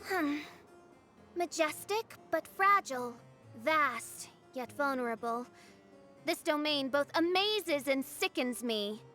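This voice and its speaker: A woman's voice: sits high, lively intonation, slow, sharp tone, soft background music, full character voice. A woman voicing a haughty, theatrical teenage girl who speaks as a pompous gothic princess in a video game. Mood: haughty, grandiose. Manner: pompous, grandiose archaic speech.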